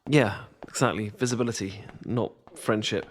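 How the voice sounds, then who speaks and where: A man's voice: fairly low pitch, normal speed, slight background noise, lightly acted. A young British man playing a shy, self-deprecating student in an audio roleplay, hesitant and stammering as he talks to his best mate. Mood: wry, rambling. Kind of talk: ASMR boyfriend-style audio roleplay, casual monologue.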